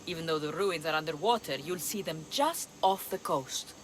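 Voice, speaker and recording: A woman acts out a theatrical, scheming sea rogue for a video game. The voice is medium in pitch, boastful and sly, set against clear background noise. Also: moderate pace, lightly acted. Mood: sly, eager. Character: scheming, boastful seafaring treasure hunter who double-crosses mercenaries. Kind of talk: video game cutscene acting.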